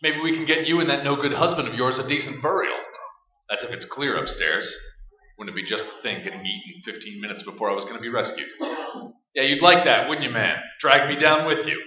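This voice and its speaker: An actor playing a trapped, sardonic survivor ranting bitterly and desperately in a staged radio play. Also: male voice, mid-range voice, fairly quick, bright, forceful tone, highs cut off, lightly acted. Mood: bitter sarcasm. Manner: sarcastic, ranting. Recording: echoey stage sound.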